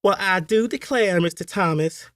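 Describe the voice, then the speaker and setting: A guy doing a coy belle, way up high in falsetto, all gushy. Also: moderate pace, fairly bright tone, no echo, clean studio sound. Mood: gushing. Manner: coy and gushing.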